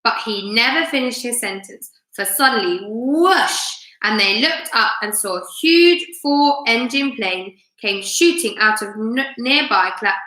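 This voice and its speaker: A woman with a bright, fairly high voice hosts a live story time for children. She reads expressively and puts questions to her listeners, in a room with clear echo. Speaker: teacher reading aloud to children on a live stream. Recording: roomy, echoey room sound.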